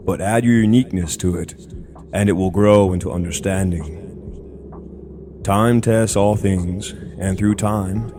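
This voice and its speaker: A man narrating a scripted guided meditation in a deliberate, soothing way, in short measured phrases. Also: fairly low pitch, dark tone. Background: background music.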